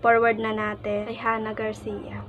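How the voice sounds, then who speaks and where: A woman from the Philippines with a Filipino accent, chatting casually and in an animated, informal way as a vlogger. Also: fairly high pitch, normal speed, phone-quality sound, faint music behind. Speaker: vlogger, prank caller.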